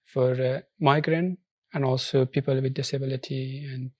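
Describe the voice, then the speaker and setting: A man from Afghanistan, Persian his first language, talks through his story in an earnest, halting English that often stops and restarts. His voice sits at an ordinary middle pitch, and the studio sound is dry and close. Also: fairly even intonation, darkish tone, dry recording. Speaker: social enterprise founder. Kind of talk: studio interview.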